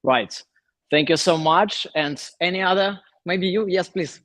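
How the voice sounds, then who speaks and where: A man's voice: high-pitched, measured pace, fairly bright tone, no echo. A man hosting a startup workshop, calling on audience members and encouraging them to speak. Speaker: workshop host.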